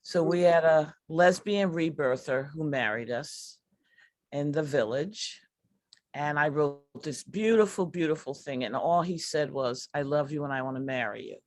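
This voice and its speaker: A middle-aged woman, a comedy performer, telling personal stories in a candid, conversational way with deliberate pauses. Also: low-pitched, a little mellow, no echo.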